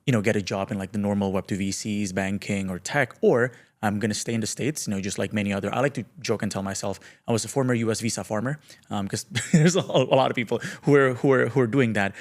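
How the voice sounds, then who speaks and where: Low-voiced young man chatting casually. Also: quick pace. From Indonesia. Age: late 20s. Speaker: podcast guest. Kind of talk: casual conversational interview.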